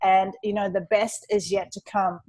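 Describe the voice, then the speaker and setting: A woman's voice: medium pitch, flat delivery, moderate pace, darkish tone, low-quality recording. A woman with a New Zealand accent that has turned partly Australian, in her thirties or forties, telling her personal story with upbeat, earnest energy on a webinar. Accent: New Zealand, leaning Australian. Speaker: online entrepreneur and webinar co-host.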